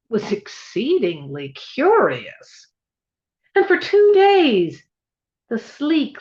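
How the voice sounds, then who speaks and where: A woman giving a dramatic, theatrical reading of an eerie literary tale, drawing out her words for effect. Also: a little high. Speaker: reader at a live library event of dramatic readings. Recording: echoey, dull-sounding room recording.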